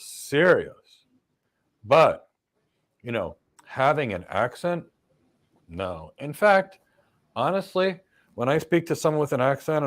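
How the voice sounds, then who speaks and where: An American man hosting a live career Q&A as a cloud career coach, answering viewer questions emphatically with blunt one-word verdicts. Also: mid-range voice. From United States.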